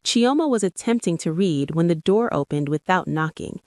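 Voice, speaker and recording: Female storyteller, scripted dramatic narration, lowish register, close dry recording. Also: animated, moderate pace, darkish tone, no room sound.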